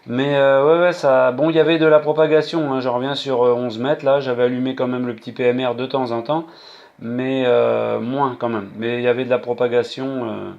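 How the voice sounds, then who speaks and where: A man's voice: medium pitch, creaky, a little mellow. A man chatting casually as an amateur radio operator on a handheld set. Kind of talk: casual two-way radio chat. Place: indoors, apartment. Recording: dull lo-fi handheld radio audio.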